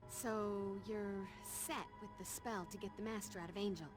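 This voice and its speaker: An actress playing a bossy, tough young American vampire slayer in a game cutscene, blunt and no-nonsense. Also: female voice, mid-range voice, steady tone, moderate pace, over music, natural voice. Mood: impatient. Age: young adult. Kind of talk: video game cutscene acting.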